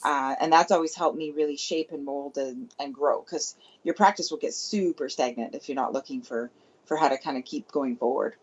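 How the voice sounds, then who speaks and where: A woman's voice: rumbling low, moderate pace, slightly rough. A Canadian woman, a chiropractor guest, talking cheerfully and laughing easily. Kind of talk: casual interview chat. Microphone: remote call. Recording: dull, lo-fi call audio.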